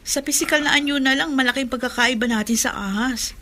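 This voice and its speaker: Forceful, bright-voiced skeptic. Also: female voice, sits high, fast talker, a little hiss or hum. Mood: skeptical. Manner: dramatic, firm, challenging. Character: skeptical, level-headed woman, dismissive of monster tales. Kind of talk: Filipino horror radio drama acting.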